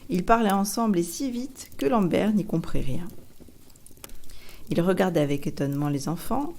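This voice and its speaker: A woman's voice: on the low side, expressive, moderate pace, darkish tone, slight background noise. A woman reading a storybook aloud as an audiobook narrator, in scripted narration.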